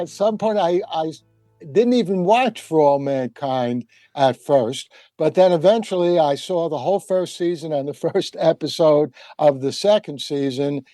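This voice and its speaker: A man's voice: a little high, mellow, dry recording. An older man talking casually as a panel guest, wry and emphatic, wandering into asides as he explains his opinions. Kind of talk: casual discussion, storytelling.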